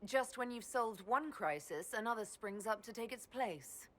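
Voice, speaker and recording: A woman playing a composed, dry-witted heroic leader in a video game, with a measured, understated manner. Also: on the high side, expressive, fairly bright tone. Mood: weary, gentle. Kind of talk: dramatic video game acting.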